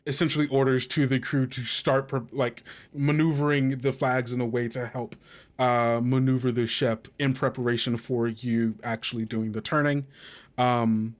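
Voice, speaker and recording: A man narrating a tabletop game as game master, improvising vivid descriptions in halting, pieced-together phrases. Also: mid-range voice, little pitch movement.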